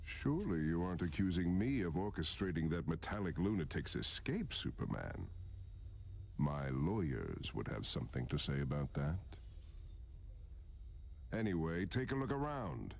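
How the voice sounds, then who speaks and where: A haughty villainous tycoon from a superhero game. His voice is extremely deep and gravelly, with sweeping, theatrical intonation. He speaks with sardonic, polished menace. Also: very animated, moderate pace, heavily acted. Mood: smug. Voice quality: very deep, creaky. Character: arrogant, smooth villainous male business tycoon. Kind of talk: animated superhero video game acting.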